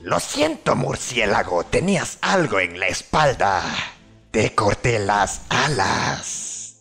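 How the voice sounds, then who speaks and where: A man with a Latin American Spanish accent voicing a menacing, malicious venomous winged serpent from a video game, with a raspy voice and a slow, sinister, dramatic delivery. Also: lowish voice, very animated, a bit bright, faint music behind, heavily acted. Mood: mocking. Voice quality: raspy, gravelly. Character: menacing venomous flying serpent-dragon, male, gloating and malicious. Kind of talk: video game character voice lines, fandub.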